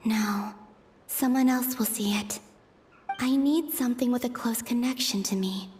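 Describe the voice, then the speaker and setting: A woman playing a gentle, melancholy young moon maiden in a video game, musing to herself in hushed tones with breathy sighs. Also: a little high, measured pace, slight background noise, close to an everyday voice. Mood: pensive. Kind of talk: dramatic video game voice acting. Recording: background music under the voice.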